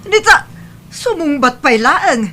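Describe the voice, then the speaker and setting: A woman's voice: high-pitched, sing-song, takes it slowly, sharp tone, exaggerated voice. A woman playing a harsh, nagging mother in an audio drama, speaking with an Ilocano accent. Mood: irritated, scolding. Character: harsh, nagging mother scolding her young son.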